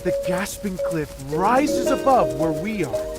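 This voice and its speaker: A man playing an excitable, earnest young male inventor in a video game cutscene. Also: high-pitched, slow pace, full character voice. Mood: excited, proud. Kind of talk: animated game cutscene dialogue. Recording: loud music behind the voice.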